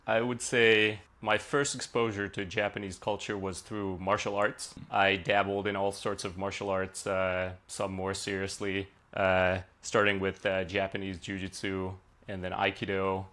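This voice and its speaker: Hungarian-born man, low voice, chatty. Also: level tone, measured pace, few pauses, fairly bright tone. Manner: conversational with frequent uh and like fillers. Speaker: software engineer guest. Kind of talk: podcast interview, relaxed storytelling.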